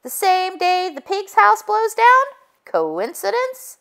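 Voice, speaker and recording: A woman's voice: very high-pitched, huge pitch swings, takes it slowly, gravelly, fairly bright tone, pitched above the performer's own voice, heavily acted. A woman voicing an earnest, suspicious male egg detective in a storybook. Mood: insistent. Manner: insistent, suspicious.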